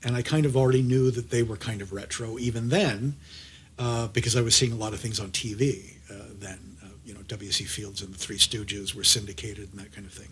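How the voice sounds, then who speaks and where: A man's voice: lowish voice, steady tone, brisk, a bit bright. A middle-aged American man hosting a conversational interview, chatty and rambling as he circles back mid-sentence. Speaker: podcast host and audio drama producer.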